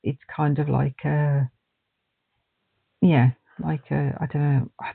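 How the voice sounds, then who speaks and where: A man in a casual conversation, asking gentle, supportive questions and listening closely. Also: fairly high pitch, flat delivery, takes it slowly, gravelly, breathy edge, no echo. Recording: dry, phone-like narrow sound.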